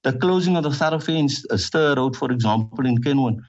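A middle-aged man with a South African accent, a councillor answering radio interview questions in a forceful, repetitive campaigning manner. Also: mid-range voice, fast talker, dull audio. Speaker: councillor and party leader.

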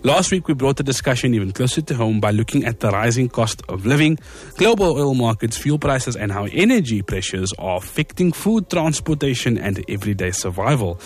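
A man's voice: medium pitch, very animated, a little fast, husky. A man hosting an evening radio talk show from the studio, upbeat and conversational. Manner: upbeat, conversational, occasional laughs. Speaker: radio host.

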